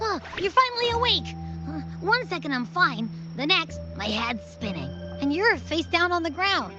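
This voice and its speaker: A woman voicing a lively, chatty young game companion in a casual way, with dull sound and music behind. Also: very high voice, normal speed. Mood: relieved, dazed. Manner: animated, casual. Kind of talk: scripted game dialogue.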